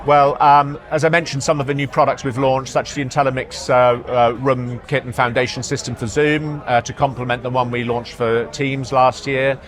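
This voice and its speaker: A man speaking as an executive in a product interview, halting, often repeating words and hesitating as he explains. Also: medium pitch, flat delivery, fairly bright tone. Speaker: marketing executive being interviewed. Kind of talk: product interview at a trade show. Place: trade show floor.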